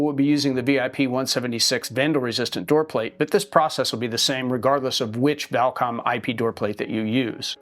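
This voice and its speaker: A man narrating a step-by-step software tutorial as a training instructor, explaining things in a clear, methodical way. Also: mid-range voice.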